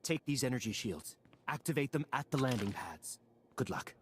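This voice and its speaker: An actor playing a dutiful, earnest aide in a video game, reporting in a factual, deferential way. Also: male voice, mid-range voice, expressive, moderate pace, slight background noise, lightly acted. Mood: helpful. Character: dutiful, matter-of-fact male mayor's aide. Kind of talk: video game character acting.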